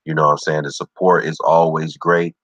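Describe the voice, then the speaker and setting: A man's voice: extremely low pitch, level tone, fairly quick, a bit bright, dry recording. A man hosting his own livestream show, talking loosely and encouragingly, constantly saying "you know what I'm saying". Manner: easygoing, encouraging. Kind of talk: casual livestream talk.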